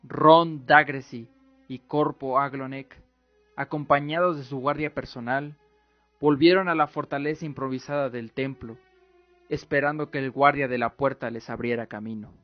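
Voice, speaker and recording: A man's voice: medium pitch, fairly even intonation, moderate pace, no echo. A man reading dramatic scripted narration as the narrator of a radio drama. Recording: muffled audio with music. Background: faint music.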